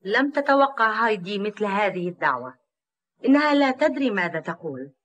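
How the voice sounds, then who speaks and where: Woman narrating a children's cartoon, mid-pitched. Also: big pitch swings, fairly quick, a bit bright.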